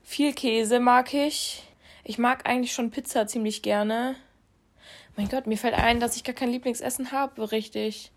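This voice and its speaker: A woman's voice: on the high side, steady tone, measured pace. A young woman with a cold, co-hosting a podcast and chatting casually into her phone, alone in her room, laughing at herself. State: has a cold. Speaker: podcast co-host. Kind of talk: casual chat.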